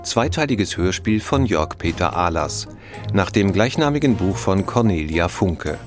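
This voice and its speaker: Music plays clearly behind a deep-voiced man. He reads radio play titles as a scripted announcer, with very animated intonation. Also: measured pace. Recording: loud music under the voice.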